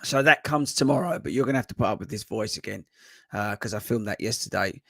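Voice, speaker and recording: Croaky running coach on a live stream. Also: male voice, fairly low pitch, fast, fairly bright tone, dry recording, studio quality. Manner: chatty, rambling with many fillers. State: hoarse, voice just coming back. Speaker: running coach and podcast host. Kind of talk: casual live-stream chat. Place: home desk.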